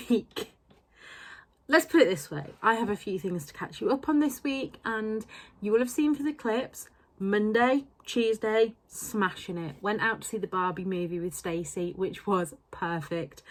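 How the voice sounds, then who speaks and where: A middle-aged British woman talks casually in a vlog. Her pitch sits in the middle for a woman, and she speaks in a candid, chatty, self-deprecating way. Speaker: book vlogger. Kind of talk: casual vlog chat to camera.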